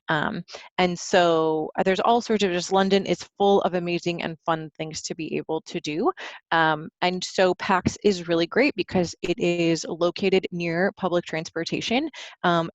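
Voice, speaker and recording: Woman, relaxed trip-leader presenter, lowish voice, conversational and hesitant, dry muffled call audio. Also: no echo. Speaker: Girl Scout troop trip leader. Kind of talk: informal spoken presentation of travel photos.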